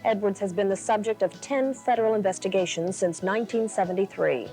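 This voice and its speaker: Female news co-anchor, average pitch, scripted studio delivery, light music underneath. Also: fairly quick, warm tone, rough recording. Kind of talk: scripted TV news reading with brief co-anchor banter.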